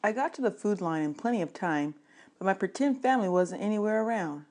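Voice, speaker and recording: A woman's voice: mid-range voice, animated, brisk, darkish tone. A woman reading a children's novel aloud as audiobook narration, in the manner of a teacher.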